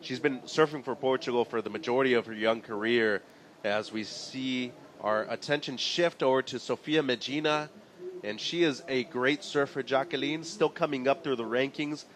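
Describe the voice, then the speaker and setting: A man doing live play-by-play for a sporting event, his voice mid-pitched and ordinary. He keeps a steady, informative delivery, reading out scores and the time on the clock. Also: normal speed. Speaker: play-by-play commentator.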